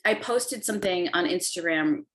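A woman's voice: lowish voice, moderate pace, a bit bright. A woman hosting a podcast interview, enthusiastic and meandering, restarting her sentences. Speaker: podcast host. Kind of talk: casual interview chat.

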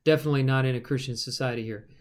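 Man, thin reedy voice, mid-pitched, podcast Bible teacher, thoughtful, explanatory, many ums. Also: fairly even intonation, smooth. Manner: thoughtful, explanatory, pauses with fillers. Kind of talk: casual teaching conversation.

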